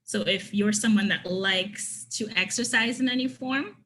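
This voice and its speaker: A woman's voice: mid-range voice, unhurried, sharp tone. A woman from Trinidad giving an online conference workshop, earnestly reading participants' comments and responding to each one. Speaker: conference presenter.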